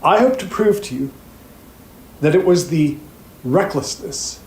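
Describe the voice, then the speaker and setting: A man playing an earnest, grave plaintiffs' attorney in an audio drama, speaking formally and with deliberate pauses. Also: fairly high pitch, lively intonation, a little mellow. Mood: earnest, persuasive. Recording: roomy echo, faint background noise.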